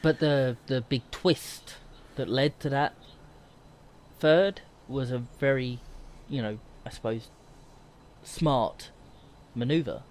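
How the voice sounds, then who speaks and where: A man's voice: medium pitch, takes it slowly, long pauses, a little hiss or hum. A man co-hosting a gaming podcast in casual conversation, rambling and self-deprecating. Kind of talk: casual chat.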